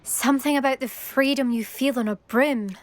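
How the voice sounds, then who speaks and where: A woman playing a haughty, competitive teenage schoolgirl in a video game, brisk and needling as she talks. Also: high voice, dry recording, close to an everyday voice. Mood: grudgingly friendly. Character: cocky, competitive teenage schoolgirl broom racer, impatient and proud. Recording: music behind the voice.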